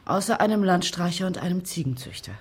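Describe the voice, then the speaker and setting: Low-voiced, cool female investigator. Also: lively intonation, quick pace, slightly rough. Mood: coolly provocative. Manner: dry, insinuating. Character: cool, persistent female investigator, dryly provocative. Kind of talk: radio crime drama acting.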